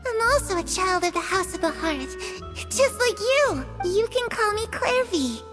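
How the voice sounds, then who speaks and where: A woman's voice: very high-pitched, lively intonation, unhurried. A woman voicing an eerie ghostly little girl spirit in a video game, sweet on the surface with an ominous edge. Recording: game audio with music.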